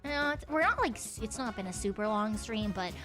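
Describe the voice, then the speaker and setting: A woman's voice: medium pitch, lively intonation, brisk, fairly bright tone, faint music behind. A woman chatting casually on a live stream with a slight frog in her throat. She rambles, swears casually and laughs often.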